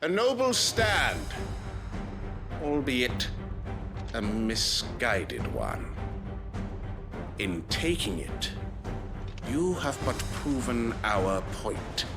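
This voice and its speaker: Very slow, grandiose male villain. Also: mid-range voice, huge pitch swings, over music, heavily acted. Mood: cold condescension. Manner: drawn-out, portentous. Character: grandiose, coldly condescending villain preaching cleansing. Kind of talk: theatrical video game villain acting.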